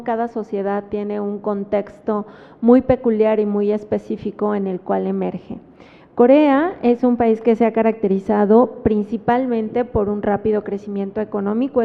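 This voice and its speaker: A woman with a Mexican Spanish accent gives an academic talk at a book presentation, pausing often and adding tag questions. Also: mid-range voice. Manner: thoughtful, with frequent fillers and tag questions. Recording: phone-like sound with long hall reverb.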